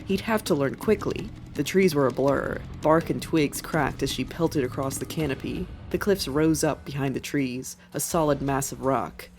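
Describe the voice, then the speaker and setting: A woman's voice: sits low, normal speed, hardly any pauses, darkish tone, slight background noise. A woman reading scripted fiction as a dramatic narrator. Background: music.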